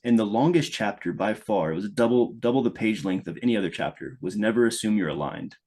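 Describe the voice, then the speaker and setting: A man's voice: on the low side, lively intonation, fast talker, dark tone. A man from Louisiana, a guest product manager, telling his story thoughtfully, with frequent restarts. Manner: thoughtful, restarts often, speeds up when engaged. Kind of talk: conversational podcast interview.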